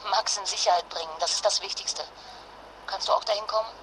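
A woman's voice: medium pitch, fairly even intonation, quick pace, natural voice. An actress in a radio drama playing a protective mother fleeing a disaster with her son, earnest, insistent and reassuring. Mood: determined. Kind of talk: modern radio drama acting. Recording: clearly noisy phone connection.

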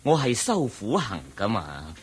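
An actor playing a solemn, humble ascetic Buddhist monk who teaches patiently in measured Hong Kong Cantonese, in radio drama style. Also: male voice, fairly low pitch, huge pitch swings, slightly rough, heavily acted. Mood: gentle, firm. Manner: measured, deliberate, didactic.

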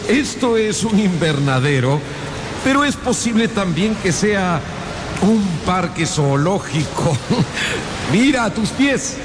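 An actor playing an old professor and explorer in a radio drama, excitable, commanding and theatrical. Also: male voice, high-pitched, slow, noisy background, full character voice. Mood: authoritative. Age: older. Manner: theatrical, exclamatory. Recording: music behind the voice.

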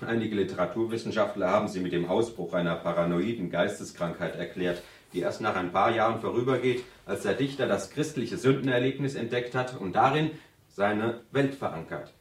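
A man's voice: lowish voice, brisk, natural voice. An actor playing a zealous, argumentative male university student who lectures emphatically in a radio drama seminar. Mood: lecturing, assured. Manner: lecturing, emphatic, with theatrical build-ups. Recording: roomy, slightly distant studio acoustic.

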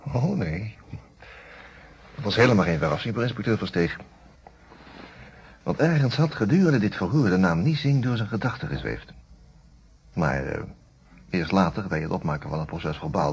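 Radio drama narrator: reflective lawyer, low-pitched, expressive intonation, conversational musing, dull vintage recording. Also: male voice. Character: reflective male lawyer narrating his detective friend's case.

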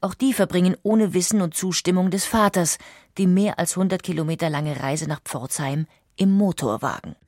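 A woman narrates a documentary script with a fairly low voice. The sound is very dry, and she tells the story in a measured way. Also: a little mellow, no room sound.

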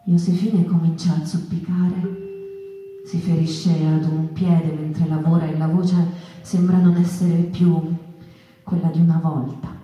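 A woman performing theatrical storytelling narration in measured, deliberate short phrases. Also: sits low, little pitch movement, dark tone, a little hiss or hum. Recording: echoey, distant stage sound with music.

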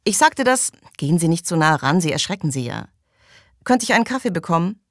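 A woman voicing talkative, opinionated settlers who grumble and hold forth in an animated way in an audiobook. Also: on the low side, brisk, no echo, studio quality. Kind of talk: audiobook character dialogue.

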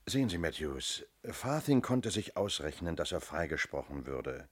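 An actor playing a shrewd, self-assured police inspector in a radio crime drama, speaking firmly and methodically. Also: male voice, low voice, big pitch swings, moderate pace, slightly rough, heavily acted. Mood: certain, explanatory. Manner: methodical, firm, explanatory.

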